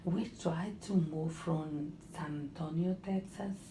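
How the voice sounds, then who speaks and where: A middle-aged woman from Honduras with a Spanish accent, speaking English as a second language in casual conversation, halting and often searching for words. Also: sits low, fairly even intonation. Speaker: interviewee. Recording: echoey room with faint noise.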